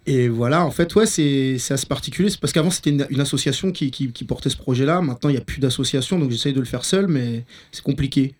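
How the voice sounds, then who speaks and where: Fast-talking frank man. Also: mid-range voice, a little mellow. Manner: frank, wry, slightly hesitant. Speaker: rapper and festival organizer. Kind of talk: casual interview answers.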